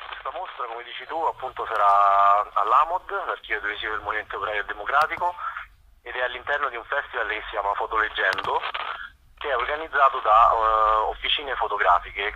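A man speaking as a guest in a radio phone interview, explaining his work in a halting, conversational way. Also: lowish voice. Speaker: photographer, exhibition guest. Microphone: telephone. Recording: dull, noisy phone line.

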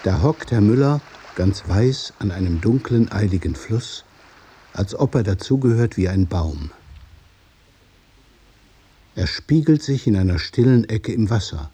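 A man narrating a literary radio play in measured, observational short phrases. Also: fairly low pitch, animated, mellow, breathy edge, heavily acted.

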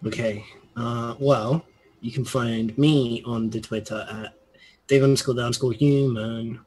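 A man's voice: medium pitch, steady tone, a little slow, a little mellow, a little hiss or hum, poor audio. A man who is a regular player on a tabletop stream, talking casually and hesitantly with many fillers. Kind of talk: casual chat.

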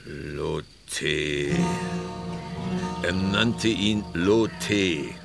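An actor playing a stern, distrustful older Osage chief in a western radio drama, speaking gravely and curtly. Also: male voice, low voice, loud music behind the voice, heavily acted. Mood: curt, impatient. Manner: slow, curt, grave delivery.

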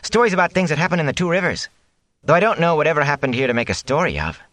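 A man's voice: fairly high pitch, lively intonation, racing, fairly bright tone, dry recording, pitched above the performer's own voice. Man putting on an eager, insistent young girl.

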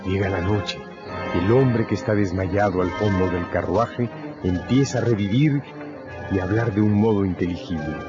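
A man narrating a radio drama in a measured, solemn, dramatic scripted style. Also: deep voice. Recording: dull recording, loud music and crowd behind.

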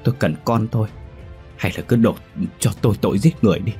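A man's voice: on the low side, fast, dark tone, faint music behind, lightly acted. A man voicing a tearful older village mother who begs her husband to spare their son, in a storybook reading. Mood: pleading. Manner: pleading.

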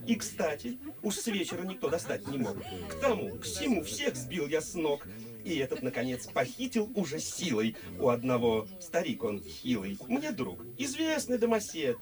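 An obsequious male swindler boasts and flatters in a radio drama. His voice is on the high side with very lively intonation, and a crowd can be heard behind him. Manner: ingratiating, boastful. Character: obsequious, slippery society swindler and fixer. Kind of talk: theatrical radio play acting.

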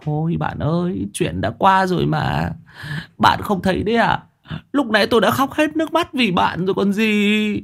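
A man doing a high, jittery young sidekick. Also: sing-song, normal speed, vocal fry, heavily acted. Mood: pleading. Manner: high, loud and fretful. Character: excitable young male sidekick, jittery and emotional.

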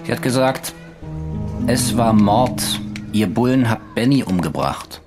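An actor playing a formal, relentless police interrogator in a German radio drama, controlled and pointed. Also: male voice, medium pitch, expressive, a little slow, over music. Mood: cool, insistent.

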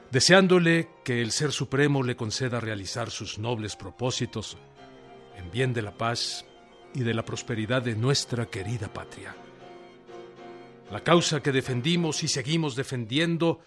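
An actor playing a proud, earnest revolutionary peasant leader, declaiming a formal letter with fervor in a radio drama. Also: male voice, mid-range voice, a bit bright, lightly acted. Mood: fervent. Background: music.

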